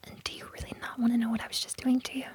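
A woman acting a flustered, awkward young lover in an intimate audio roleplay, with a breathy, hushed voice and halting, stammering delivery. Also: fairly high pitch, little pitch movement, close to an everyday voice. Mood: shy, teasing. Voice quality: breathy, whispery.

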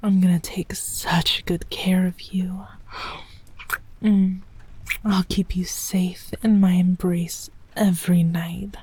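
A doting, possessive mother dragon, voiced by a woman who talks in a hushed, breathy whisper, slow and unhurried with long pauses. Her tone is low and warm. The sound is dry and close, with no room around it. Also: flat delivery, dry recording. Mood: tender whisper. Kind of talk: intimate audio roleplay.

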